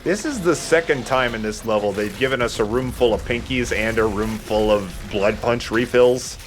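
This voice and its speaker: A man's voice: mid-range voice, moderate pace, soft background music. A man giving casual let's play commentary in a playful, self-deprecating way, swearing casually. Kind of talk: casual gameplay commentary with banter.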